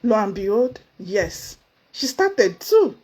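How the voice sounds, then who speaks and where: Earnest Nigerian woman, emphatic and lively. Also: slow, husky. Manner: earnest, emphatic, with sudden high exclamations. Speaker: motivational speaker. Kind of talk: motivational talk to camera. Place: indoors, seated before camera.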